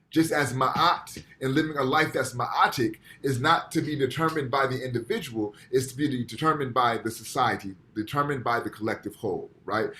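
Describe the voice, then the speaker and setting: A man's voice: mid-range voice, fairly bright tone. A man giving a university lecture over a video call, explaining ideas step by step and checking in with "right?" after each point. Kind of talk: online lecture. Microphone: computer mic on video call.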